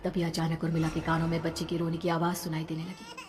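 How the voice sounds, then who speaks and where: Female narrator, slightly low voice, dramatic horror storytelling, long reverb, faint background noise. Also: monotone, fast.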